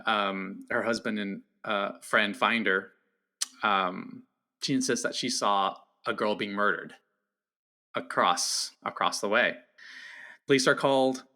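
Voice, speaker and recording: A man speaking as a guest film critic in a casual discussion, halting and repeating words as he goes. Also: on the low side, pushed and bright.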